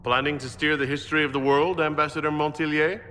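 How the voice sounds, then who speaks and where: A man's voice: on the high side, little pitch movement, fast talker, bright, forceful tone. An actor playing a composed, skeptical male hero in a video game, speaking in a dry, matter-of-fact way. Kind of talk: video game dialogue acting. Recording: noisy, long hall reverb.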